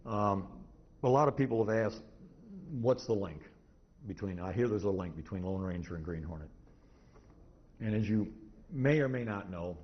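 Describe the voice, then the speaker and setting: A man giving an informal, rambling lecture to an audience, halting and restarting often as he goes. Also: low-pitched. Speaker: convention presenter, radio historian. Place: indoor convention talk room. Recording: muffled, noisy live convention room recording.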